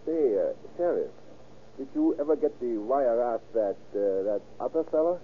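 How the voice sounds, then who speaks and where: Man acting a cocky, animated killer, tinny old radio. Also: mid-range voice, normal speed, slight background noise, close to an everyday voice. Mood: casual confessing. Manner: breezy, unrepentant, folksy slang. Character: cocky, mean, stubborn laughing killer, casually confessing. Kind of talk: 1930s-40s radio crime acting.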